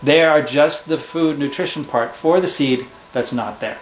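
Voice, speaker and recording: A man's voice: a little high, moderate pace, roomy sound, slight background noise. A man teaching at home, explaining a storybook lesson to a child patiently and step by step. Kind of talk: casual classroom discussion.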